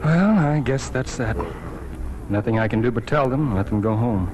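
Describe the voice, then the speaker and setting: An earnest small-town editor played by a male actor in a 1940s radio play. He speaks at a medium pitch in the measured style of old radio drama. The broadcast recording carries some noise. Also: natural voice. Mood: earnest, resigned.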